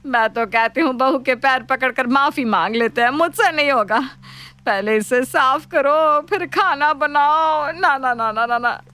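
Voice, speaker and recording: Woman acting a bossy, gluttonous cartoon mother-in-law, high-voiced. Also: unhurried, sharp tone, clean tone. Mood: whiny. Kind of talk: animated Hindi moral-story cartoon.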